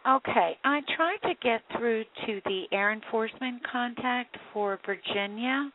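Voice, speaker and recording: A woman's voice: a little high, unhurried. A woman answering a phone line, giving a caller procedural, noncommittal directions. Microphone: telephone. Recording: tinny phone line with faint noise.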